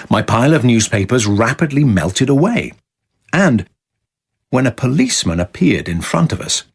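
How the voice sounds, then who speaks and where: Low-pitched, expressive man reading letters aloud. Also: husky. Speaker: reader of letters for radio. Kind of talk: dramatised reading of formal letters, scripted.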